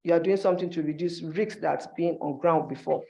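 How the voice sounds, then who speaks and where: A man's voice: fairly high pitch, fairly quick, gravelly, warm tone. A man giving an instructive conference presentation in short, emphatic phrases, as a university staff member.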